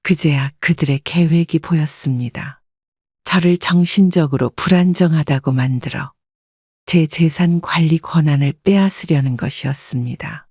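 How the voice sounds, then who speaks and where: A woman narrating a first-person family story in a measured, subdued reading. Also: low voice, darkish tone, no room sound, studio quality.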